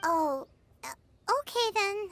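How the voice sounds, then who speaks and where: A tiny game fairy with an extremely high, childlike voice. She is cheeky and bossy, swinging widely in pitch while keeping an unhurried pace. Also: lively intonation, fairly bright tone, a little hiss or hum, full character voice. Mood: inquiring. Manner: talks of herself in third person, perky. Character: small cheeky female floating fairy companion, childlike, bossy. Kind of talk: animated game voice acting.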